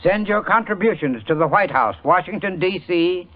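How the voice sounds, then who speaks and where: A man's voice: high-pitched, lively intonation, normal speed. A man reading a scripted 1940s radio commercial as an expert spokesman, folksy and instructive, addressing listeners directly. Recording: muffled old radio broadcast.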